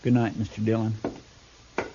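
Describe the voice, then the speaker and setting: An actor playing a deferential, slightly fretful marshal's assistant with a folksy manner in a 1950s radio western. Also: male voice, lowish voice, completely flat delivery, moderate pace, lightly acted. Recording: dull, noisy vintage radio recording.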